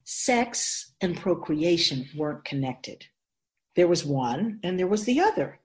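A woman playing a wise otherworldly spirit in a radio drama, explaining things patiently in a measured, knowing way. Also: fairly low pitch, sing-song, a little mellow. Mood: didactic. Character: Keeper of Souls, wise otherworldly spirit in female voice.

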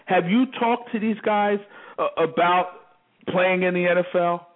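A man's voice: high voice, steady tone, unhurried. A man hosting a sports talk show, interviewing a guest with probing, supportive questions over the phone. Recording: tinny phone line.

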